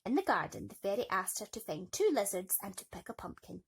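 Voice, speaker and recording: A woman's voice: medium pitch, very animated, fairly quick, breathy edge. A woman reading children's bedtime stories expressively, with playful, nurturing asides to young listeners. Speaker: bedtime story reader.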